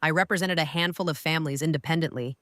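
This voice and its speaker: A gracious older lawyer. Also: female voice, low-pitched, quick pace, a bit bright, no echo, clean studio sound. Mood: calm. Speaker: retired lawyer. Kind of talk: audio drama acting.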